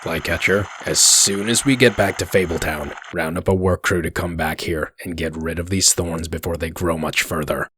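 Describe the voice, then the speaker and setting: A man playing a gruff, commanding werewolf detective in a comic dub, curt and threatening, with a gravelly voice. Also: low voice, fairly even intonation, normal speed, hardly any pauses, a bit bright, slight background noise. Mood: brisk, commanding.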